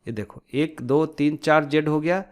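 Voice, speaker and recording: A man's voice: a little high, measured pace. A man giving an informal step-by-step tutorial, explaining by asking and answering his own questions and mixing in English technical terms. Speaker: tutorial instructor.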